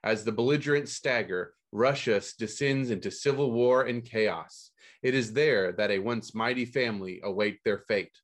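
A man's voice: medium pitch, normal speed. A man sitting in as host of a book podcast, reading scripted news and blurbs with dry, mock-confused humor.

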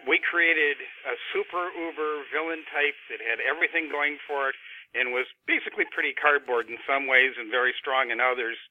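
Male editor guest, mid-pitched, fluent casual talk, narrow muffled phone-line audio. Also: moderate pace, no echo. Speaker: book editor guest on a podcast.

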